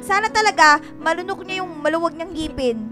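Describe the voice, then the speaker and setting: A woman's voice: very high voice, a little fast. A young woman with a Filipino accent playing a sassy, dramatic comic friend in a radio drama. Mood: indignant, mocking. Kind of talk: Tagalog radio drama acting. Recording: music clearly under the voice.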